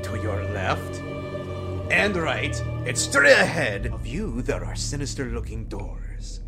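A pompous, menacing storyteller acted out by a performer in a comic audio drama. The voice swoops wildly from hushed doom to grand proclamation, gravelly at the edges, over prominent music. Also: medium pitch, big pitch swings, slow pace. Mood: ominous. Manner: portentous, melodramatic, dragging out grim pronouncements. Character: pompous, menacing, theatrical omniscient narrator who gets flustered. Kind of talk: theatrical second-person narration, audio comedy drama. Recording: music behind the voice.

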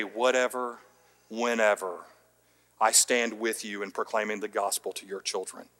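Earnest preaching man, long hall reverb. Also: mid-range voice. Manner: earnest preaching with rising emphatic peaks and quiet dropped endings; light self-deprecating humor. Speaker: pastor. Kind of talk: sermon. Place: church sanctuary.

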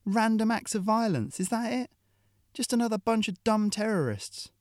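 A man voicing a big, brutal female mercenary who sneers and taunts, in a high put-on voice. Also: animated, moderate pace, a little mellow, dry recording, studio quality. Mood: contemptuous.

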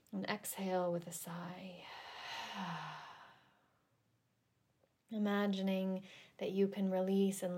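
A woman leading a guided relaxation meditation in a gentle, soothing, deliberate manner with long pauses. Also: on the low side. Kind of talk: guided yoga nidra meditation.

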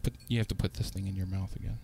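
A man's voice: low-pitched, little pitch movement, rapid-fire, dark tone, a little hiss or hum, low-quality recording. A man hosting a live podcast, talking loosely and laughing often, with frequent restarts. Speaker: podcast host.

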